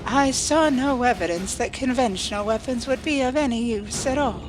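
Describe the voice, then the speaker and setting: A woman performs a formal starship ensign for a sci-fi audio play. Her voice sits on the high side, and she speaks with cool, exact logic. Music plays clearly behind her. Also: normal speed, natural voice, low-quality recording. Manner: precise, formal, unemotional. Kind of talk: sci-fi audio drama acting. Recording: music under the dialogue.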